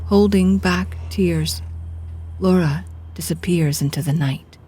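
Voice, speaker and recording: A woman's voice: lowish voice, slow pace, warm tone, husky. A woman narrator giving scripted, dramatic storytelling narration for an audio drama.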